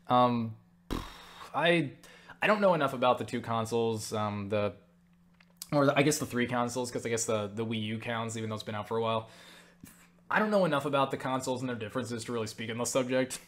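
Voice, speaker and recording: A man's voice: medium pitch, brisk, a bit bright. A man talking in a casual, rambling monologue as he answers viewer questions, stumbling over words, interrupting himself and speaking bluntly. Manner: blunt, swears freely.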